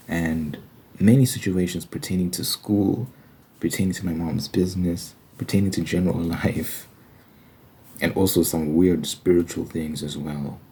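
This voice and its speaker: Low, mellow-voiced man in informal ASMR monologue. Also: husky. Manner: questioning, emphatic, uses rhetorical questions. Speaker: host of an ASMR Bible study channel. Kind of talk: informal ASMR monologue, argumentative Bible commentary.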